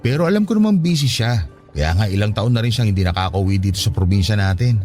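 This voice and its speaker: A man's voice: on the low side, sing-song, quick pace, darkish tone. A male actor with a Filipino accent playing an eager, trusting, affectionate older brother from the province in a radio drama. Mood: reassuring, hopeful. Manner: exclamatory, swooping delivery. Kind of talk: emotional radio drama acting. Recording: faint music under dialogue. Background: faint music.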